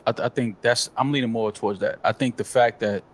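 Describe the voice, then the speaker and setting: Mid-pitched man giving measured opinions. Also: steady tone. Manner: opinionated, measured, many fillers. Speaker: podcast co-host. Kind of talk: casual chat.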